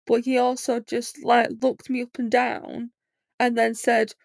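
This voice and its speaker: A woman's voice: a little high, little pitch movement, unhurried, gravelly, no room sound. A woman in her late twenties hosting a podcast, talking candidly in a rambling personal monologue with lots of fillers. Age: 20s. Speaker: podcast host.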